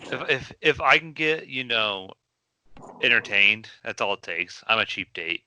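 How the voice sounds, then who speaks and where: A man's voice: lowish voice, expressive, a little slow, pushed and bright, a little hiss or hum, rough recording. A man co-hosting a casual podcast about video game movies, rambling with many fillers and restarts. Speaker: podcast co-host. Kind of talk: casual chat.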